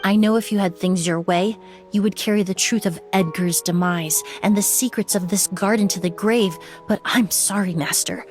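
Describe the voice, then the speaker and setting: A woman as a young female perfumer in a dramatic game scene, composed and firm. She speaks in a measured, articulate way at a middle pitch, with music underneath. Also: natural voice. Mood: solemn. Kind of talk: dramatic video game voice acting. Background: background music.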